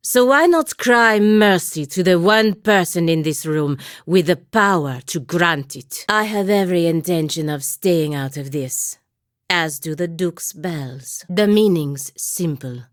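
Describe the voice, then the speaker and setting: A woman's voice: fairly low pitch, expressive, a little slow, no room sound. An actress playing a stern, dutiful and proud paladin woman in a video game, with a dry, sardonic and commanding manner. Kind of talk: video game character dialogue.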